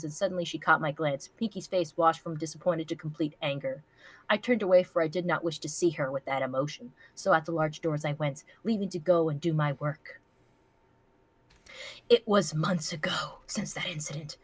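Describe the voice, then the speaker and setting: A woman's voice: low voice, a bit bright, no room sound. A woman voicing a brooding, self-pitying jealous male pony in a melodramatic, wounded reading of fan fiction.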